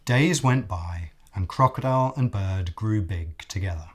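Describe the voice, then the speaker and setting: A man reading a picture book aloud as an expressive children's storyteller, deliberate, with dramatic pauses. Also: low voice, husky.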